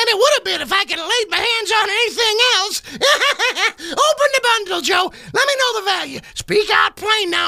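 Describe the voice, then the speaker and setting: A man voicing a brazen, greedy old charwoman in a loud, creaky, cackling radio theater performance. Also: very high voice, no room sound, voice pushed up, heavily acted. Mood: scornful, greedy. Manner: loud, coarse, gloating.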